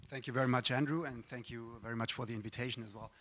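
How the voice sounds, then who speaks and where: A man for whom English is a second language addresses a conference audience. He is friendly and self-deprecating, often pausing on fillers. His voice carries a long reverb from the large hall. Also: mid-range voice, fairly even intonation, fairly bright tone. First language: not English. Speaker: conference speaker. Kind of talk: conference presentation.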